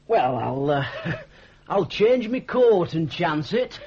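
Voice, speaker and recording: Daft cheery northern english lad, husky, up and down voice, rambles on, muffled old radio play. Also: male voice, a little high, sing-song, takes it slowly, a little mellow, full character voice. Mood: flustered, apologetic. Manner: rambling, artless, chuckling, homely dialect. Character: cheerful, bumbling, self-deprecating idle young man, family scapegoat. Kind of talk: radio drama acting. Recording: dull 1980s radio drama recording.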